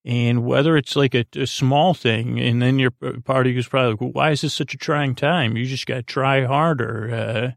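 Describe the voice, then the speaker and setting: Male podcast host for bedtime rambling: tired, even-toned delivery with vocal fry, halting and meandering. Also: mid-range voice, no echo, studio quality. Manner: meandering, halting, repeats words. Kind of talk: rambling bedtime monologue to help listeners sleep.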